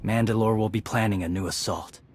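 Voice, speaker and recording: An actor playing a stoic, loyal warrior scout in a video game, terse and matter-of-fact with a husky voice. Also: male voice, lowish voice, steady tone, brisk, slight background noise, lightly acted. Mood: terse, resolute.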